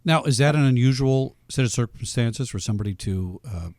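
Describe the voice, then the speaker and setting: A man's voice: mid-range voice, dry recording, studio quality. A man hosting a radio talk show, interviewing a guest in casual, joking banter. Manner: joking, animated, quick banter.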